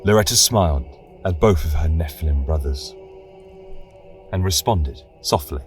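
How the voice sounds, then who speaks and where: A man narrating a scripted fantasy tale as its storyteller, in a solemn, archaic and deliberate manner. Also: rumbling low, expressive, far from the mic, faint music behind. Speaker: host and storyteller.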